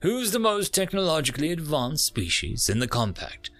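A man voicing a calm, wry male furry alien who explains things in a measured, dry way. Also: on the high side, pitched above the performer's own voice.

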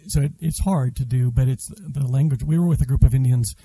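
Guy with a gravelly husky voice, chatting about where he grew up, dry close sound. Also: medium pitch, fairly quick, no echo. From Brazil. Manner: relaxed, explains with restarts and fillers. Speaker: interview guest, school head. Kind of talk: casual interview conversation.

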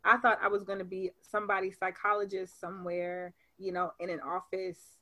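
A young woman. She tells her story with lively, animated energy and says "like" often, in a voice of middle pitch. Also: a little fast. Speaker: podcast guest. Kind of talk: casual chat.

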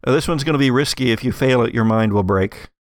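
A man's voice: mid-range voice, fast, noisy background. A man working as game master, narrating an actual-play tabletop game in a conversational, improvised way.

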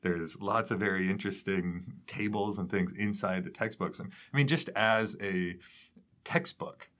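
A man's voice: on the low side, moderate pace, fairly bright tone. A man hosting an academic interview, conversational and thoughtful, feeling out his phrasing as he goes. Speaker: podcast host, educator.

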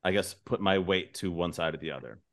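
Guy podcast host, lowish voice, jokey casual chat. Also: fairly quick. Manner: jokey, informal.

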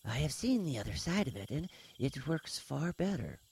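Man acting a calm, husky reptilian alien commander. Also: mid-range voice, pitched above the performer's own voice. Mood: calm, grave. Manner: measured, composed, earnest. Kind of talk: audiobook character dialogue.

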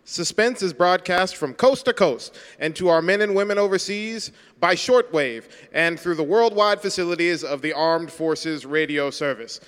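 A man's voice: fairly high pitch, normal speed, few pauses, a bit bright, long reverb tail, close to an everyday voice. A man playing a formal, polished 1940s network radio announcer.

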